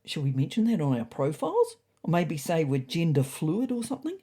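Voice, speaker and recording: An earnest, naive tomcat played by a woman in a comic storybook. The voice is mellow and a touch husky, questioning and doubtful, with plenty of rise and fall. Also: low voice, big pitch swings, a little fast, exaggerated voice. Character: earnest, naive, doubtful male orange pet cat.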